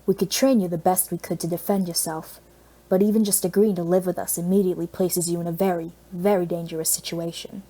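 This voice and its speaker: A serious superhero leader, a man read by a woman narrator, cautioning gravely. The delivery is measured and the tone is mellow, as in a storybook reading. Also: on the low side, fairly even intonation, natural voice, rough recording. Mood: grave, concerned.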